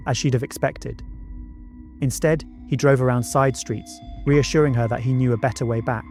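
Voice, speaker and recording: A man reads scripted true-crime documentary narration in a measured storytelling manner. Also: mid-range voice, darkish tone. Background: background music.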